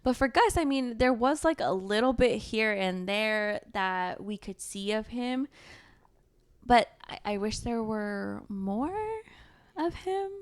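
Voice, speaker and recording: A woman's voice: on the high side, expressive, takes it slowly, smooth, no room sound. A woman co-hosting a book podcast, chatting informally and thinking aloud as she goes. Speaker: podcast co-host. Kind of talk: casual book chat.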